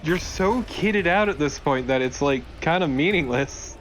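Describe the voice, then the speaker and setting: A man's voice: fairly high pitch, lively intonation, unhurried, fairly bright tone, faint music behind, rough recording. A young man chatting casually and wryly as co-host of a gameplay video, swearing freely. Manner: wry, profane. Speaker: let's play co-host. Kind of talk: casual joking gameplay chat.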